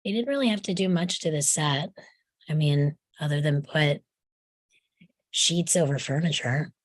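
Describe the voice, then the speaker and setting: A woman's voice: sits low, measured pace, dry recording. A woman in a casual group discussion, adding information in a matter-of-fact, conversational way.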